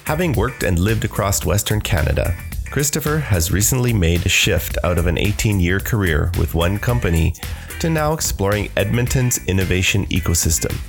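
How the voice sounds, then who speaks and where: Deep gravelly man announcing over music. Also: lively intonation, normal speed. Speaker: podcast producer introducing the episode. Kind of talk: scripted podcast introduction.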